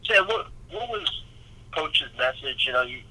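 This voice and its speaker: A man working as a sports reporter, asking a halting question at a press conference over the phone. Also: a little high, animated, pushed and bright, slightly rough. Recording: tinny phone line.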